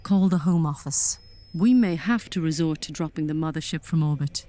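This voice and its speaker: A woman's voice: sits low, moderate pace, warm tone, natural voice. A woman playing a commanding, impatient alien general in a sci-fi audio drama, clipped and drily sarcastic. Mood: stern, impatient.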